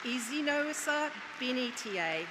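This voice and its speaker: A woman with a slightly high voice reads graduates' names at a slow, formal pace. Each name is measured and deliberate. Loud crowd applause and heavy room noise surround her. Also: expressive. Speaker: head of school presenting graduates. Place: large ceremony hall.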